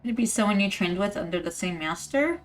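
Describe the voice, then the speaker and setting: A woman's voice: mid-range voice, expressive, fast talker, far from the mic, faint music behind. A woman streaming a game, reacting casually in a playful, slangy way. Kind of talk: casual reactions over gameplay.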